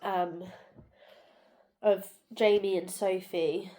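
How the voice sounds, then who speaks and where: A young woman with a cold, talking softly and tiredly at home in a rambling casual vlog, nasal and bunged up. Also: medium pitch, fairly even intonation, drawn-out words. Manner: soft, tired, rambling with many fillers. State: congested, sore throat. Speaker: vlogger.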